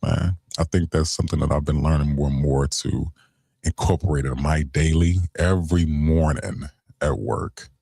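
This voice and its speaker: A young man hosts a late-night radio show in a relaxed, chatty way. His voice is very low and gravelly, with a lot of vocal fry. The sound is dry and close, with little room echo. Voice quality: deep voice. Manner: laid-back, conversational, giving shout-outs.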